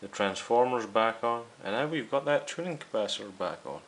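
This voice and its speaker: Male repair-video host, lowish voice, casual step-by-step explainer, faint noise and hiss behind him. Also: lively intonation, brisk, fairly bright tone. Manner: explanatory, addressing viewers directly. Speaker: host of a radio restoration series. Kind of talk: casual hands-on repair demonstration.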